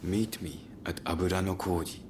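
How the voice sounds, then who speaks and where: A man's voice: low voice, steady tone, moderate pace, noisy background, natural voice. A man playing a stern, watchful samurai captain in a game, terse and controlled in his speech. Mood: grim, resolute. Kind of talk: English game voice acting, cutscene dialogue.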